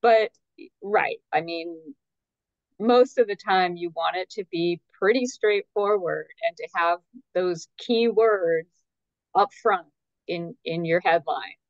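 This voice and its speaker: A woman answering as an expert guest in a conversational, measured and tentative way, qualifying her points. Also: lowish voice, dry recording, muffled recording.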